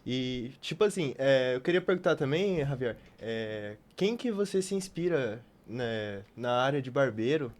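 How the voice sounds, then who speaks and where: A man speaking in casual podcast conversation with a halting, hesitant delivery. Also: medium pitch, animated, a little mellow. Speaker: podcast participant.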